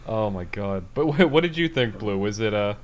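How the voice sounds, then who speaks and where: A man's voice: on the low side, animated, normal speed. A man hosting a podcast in casual, informal chat with a jokey manner. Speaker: podcast host.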